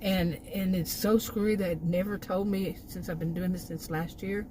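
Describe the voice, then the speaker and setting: Low-voiced American woman, exasperated vlogger. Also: fairly even intonation, normal speed, background noise. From Texas, United States. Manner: exasperated, chuckles between remarks. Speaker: food delivery gig worker, vlogger. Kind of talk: casual rambling vlog rant.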